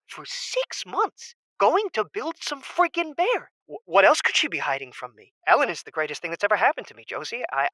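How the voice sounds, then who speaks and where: A man's voice: high-pitched, sharp tone, dry recording, full character voice. A man playing an anxious, suspicious husband calling a radio advice show, stammering and agitated in a comedy audio drama. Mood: uneasy, hurt. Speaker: caller.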